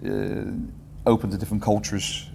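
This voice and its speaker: Mellow-voiced man in a reverberant room. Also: fairly low pitch, a little slow, vocal fry, slight background noise. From England. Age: 40s. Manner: relaxed, rambling, self-correcting with light humor. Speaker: guest, entrepreneurship teacher. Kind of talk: casual interview answers.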